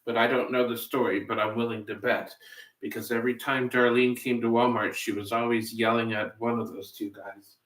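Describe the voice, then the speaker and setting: A man's voice: mid-range voice, level tone, normal speed, a bit bright. A man hosting a cooking livestream, rambling casually with playful, silly asides. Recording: echoey room.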